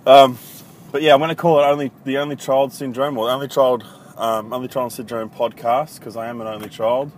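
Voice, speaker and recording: A man's voice: mid-range voice, level tone, a little fast. A man in his thirties from country Victoria with an Australian accent, hosting a casual solo podcast in a blunt, profane, rambling way full of ums. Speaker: podcast host. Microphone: iPhone. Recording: noisy phone recording with traffic.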